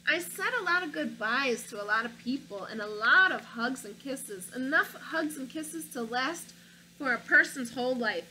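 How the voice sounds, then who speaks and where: Bright, lively woman teacher reading aloud. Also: high-pitched, moderate pace, a little hiss or hum, poor audio. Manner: stops to ask young listeners questions; expressive storytelling delivery. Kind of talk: reading a picture book aloud to children.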